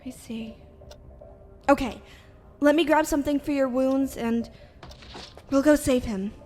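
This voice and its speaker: A woman's voice: sits high, a little slow, darkish tone, faint music behind. A woman voicing an exasperated but caring young woman, a fighter's daughter, in a fan-made anime roleplay. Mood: guarded, serious.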